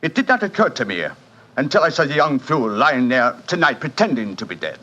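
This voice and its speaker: An actor playing a suave, fatalistic French casino manager in a 1940s radio drama, courtly and theatrical, with a French accent. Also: male voice, medium pitch, sing-song, a little fast, sharp tone. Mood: fatalistic, proud. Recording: muffled vintage 1940s radio broadcast.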